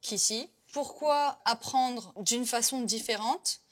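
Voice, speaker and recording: A woman's voice: fairly high pitch, takes it slowly. A woman in her twenties from Côte d'Ivoire, chatting as an interview guest with lots of fillers and restarts. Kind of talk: casual interview chat.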